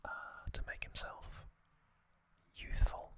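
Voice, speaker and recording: A man hosting ASMR, recapping a story in a breathy, unvoiced whisper with a gentle, halting delivery. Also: no room sound. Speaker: ASMR host.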